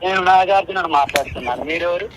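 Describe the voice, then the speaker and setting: A man's voice: high voice, fast, fairly bright tone. A man chatting casually on a phone call in a skeptical, argumentative manner. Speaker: stranger receiving a prank phone call.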